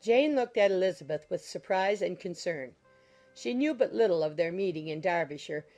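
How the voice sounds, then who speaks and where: A woman reading a classic novel as an audiobook narrator, in measured, formal storytelling style. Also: fairly low pitch, a little mellow. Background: music under the voice.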